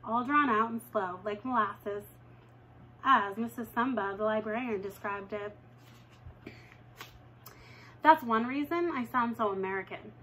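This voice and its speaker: A young woman reading a children's novel aloud at home, with lively jumps in pitch when she reads dialogue. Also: a little slow, pauses often, a little hiss or hum, rough recording. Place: living room at home, indoors.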